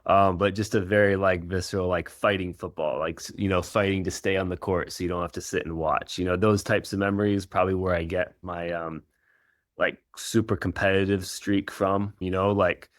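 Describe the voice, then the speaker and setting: A middle-aged man who grew up in Israel, Kenya and the United States, answering interview questions in an informal, reflective, halting way as a coach. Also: sits low, level tone, dry recording, clean studio sound. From Israel and Kenya, United States from age 13. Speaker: professional soccer coach.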